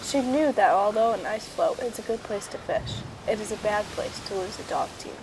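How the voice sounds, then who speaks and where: A woman storyteller reads a children's picture book aloud. Her delivery is measured and expressive, with medium pitch, shaped for young listeners. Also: background noise.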